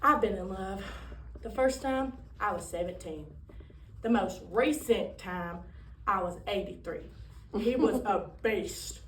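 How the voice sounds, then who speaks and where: A woman voicing a cheeky, romantic ninety-two-year-old tap dancer in a comic stage monologue, telling her story with theatrical, drawn-out punchlines. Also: medium pitch, natural voice. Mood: cheeky, boastful. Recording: roomy, distant microphone, faint noise.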